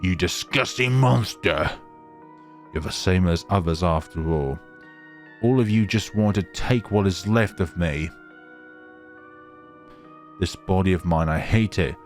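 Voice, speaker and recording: A man's voice: low voice, unhurried, long pauses, faint music behind. A man voicing a lonely, bitter immortal snow spirit girl in a horror visual novel, speaking accusingly.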